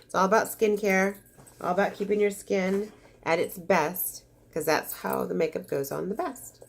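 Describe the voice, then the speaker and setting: A woman's voice: mid-range voice, normal speed, gravelly. A woman beauty vlogger with a congested voice, chatting casually and gushing as she unboxes makeup samples. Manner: chatty, gushing, easily disappointed.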